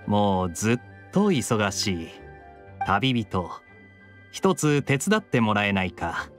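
A man voicing a suave, laid-back young knight captain in an anime game, smooth-talking and unhurried. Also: on the low side.

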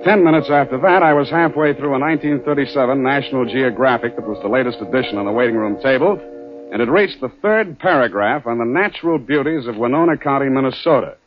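Actor playing low-voiced hard-boiled 1940s radio detective. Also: male voice, fast talker, natural voice. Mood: dry narrating. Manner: deadpan, wisecracking. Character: wry hard-boiled male private detective, cool and flirtatious. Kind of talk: 1940s radio acting, first-person crime narration. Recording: muffled 1940s radio broadcast, music behind.

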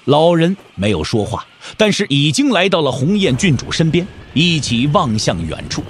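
A man's voice: fairly high pitch, sing-song, moderate pace, a little hiss or hum. A man narrating a martial-arts audio drama in a dramatic, scripted storytelling style.

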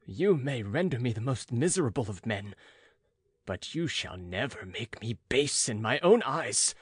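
A man acting an outraged, defiant scientist in an audiobook, with forceful, declamatory delivery. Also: mid-range voice, very animated, a little slow, slightly rough, dry recording. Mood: furious, defiant. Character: outraged, defiant male scientist, the monster's creator.

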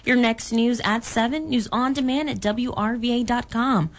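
A woman's voice: on the high side, moderate pace. An American woman working as a radio news anchor, reading scripted bulletins.

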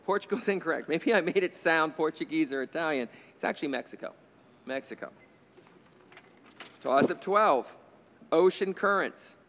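A man's voice: fairly high pitch, expressive, normal speed, long pauses, a bit bright, long reverb tail, a little hiss or hum. A man in his fifties moderating a quiz bowl from a studio desk mic, reading questions with chatty, jokey asides. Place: indoor TV studio stage. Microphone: desk microphone on a stand.

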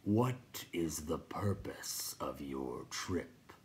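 A woman voicing a huge, blunt rock creature in a slow, crackly, gravelly whisper for a storybook. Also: rumbling low, animated, heavily acted. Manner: slow, drawn-out delivery.

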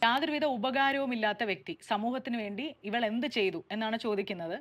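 A woman with a Kerala accent delivers a public statement in a somewhat high voice. She speaks very quickly, in articulate and formal phrases, defending herself as she addresses her followers. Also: crowd noise. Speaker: radio jockey and social media creator. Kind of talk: direct-to-camera public statement.

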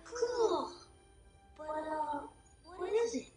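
A woman's voice: high-pitched, lively intonation, extremely slow, mellow, heavily acted. A woman voicing a timid, anxious little boy fish in a cartoon fan dub, hesitant and stammering as he bluffs bravery. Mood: excitable. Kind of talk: fan dub of an animated film. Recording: echoey, distant amateur dub over music. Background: film music.

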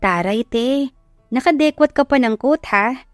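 A woman's voice: high-pitched, expressive, unhurried, dry recording, clean studio sound, faint music behind, voice pushed up. A woman voicing a remorseful, pleading young female best friend in a romance audio story. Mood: teasing. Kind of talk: acted dialogue in Tagalog romance audio story.

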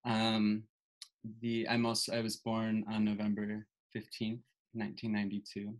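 A man in his twenties from Buffalo, introducing himself on a video call, soft-spoken and hesitant with small pauses. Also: fairly low pitch, completely flat delivery, rough recording. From Buffalo, New York. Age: 20s. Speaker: participant. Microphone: laptop webcam on video call.

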